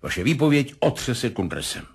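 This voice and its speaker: A domineering military colonel, husky and sardonic. His pitch swings widely as he gives formal, pointed orders and questions, in the manner of seventies radio drama. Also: very animated, full character voice. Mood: commanding. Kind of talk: 1970s radio drama acting.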